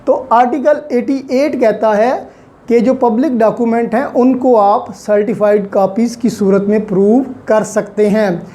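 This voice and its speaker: A woman's voice: on the high side, measured pace, dark tone. A woman with a Pakistani accent giving an online lecture as a law teacher, explaining step by step, posing questions and answering them, with many English terms mixed in.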